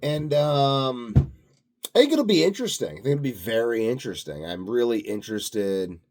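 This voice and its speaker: A man's voice: mid-range voice, sing-song, normal speed, a little mellow, slight background noise. A man delivering a rambling, unscripted vlog monologue as a self-styled expert, grandiose and self-assured, musing aloud. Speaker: self-styled health and sleep expert vlogger.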